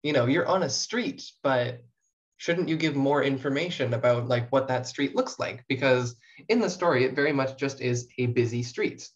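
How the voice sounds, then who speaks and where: A woman's voice: extremely low pitch, expressive, moderate pace. A young Canadian woman from small-town New Brunswick chatting casually as a podcast guest, playful and self-deprecating. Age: young adult. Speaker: student writer, podcast guest. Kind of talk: casual interview chat. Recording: dull lo-fi podcast audio.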